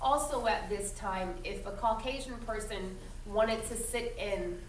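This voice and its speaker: A woman teacher reading a story aloud expressively to young children, pausing to explain it in simple, instructive asides. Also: medium pitch. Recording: roomy echo, distant mic, faint noise.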